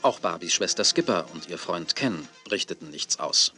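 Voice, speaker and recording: A man's voice: deep voice, lively intonation, normal speed, bright, forceful tone, soft background music. A man narrating a scripted children's story as the narrator of an audio drama.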